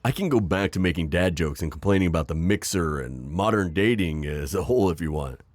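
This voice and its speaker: A man voicing a gentle, self-deprecating divorced construction-worker dad in an ASMR roleplay, hesitant and stammering with soft jokes. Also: deep voice, animated, a little fast, no echo. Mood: earnest. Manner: hesitant, full of ums and stammers, joking softly. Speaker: voice actor.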